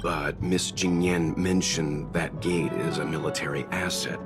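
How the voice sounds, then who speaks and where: A man voicing a calm, thoughtful companion in a game dub, measured and gently doubtful. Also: rumbling low. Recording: game dub over music.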